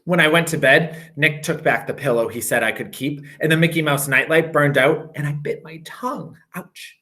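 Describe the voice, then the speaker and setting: Bright-voiced man reading a storybook aloud. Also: a little high. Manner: expressive, drawn-out emphasis on repeated phrases. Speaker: teacher. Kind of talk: reading a children's picture book aloud.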